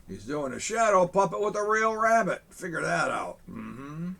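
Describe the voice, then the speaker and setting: Gravelly man reading a children's book. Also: high-pitched, sing-song, moderate pace. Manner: warm, chatty grandfatherly asides to children. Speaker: storyteller in a Santa persona. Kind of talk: children's book read-aloud. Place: small studio set, indoors.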